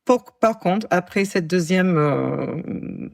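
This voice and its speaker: A woman's voice: on the low side, expressive, slow pace, creaky, dry recording, studio quality. A woman in her forties casually recounting a case to a co-host, with frequent fillers, restarts and wry asides. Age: 40s. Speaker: true-crime podcast co-host telling the case. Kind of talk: casual storytelling chat.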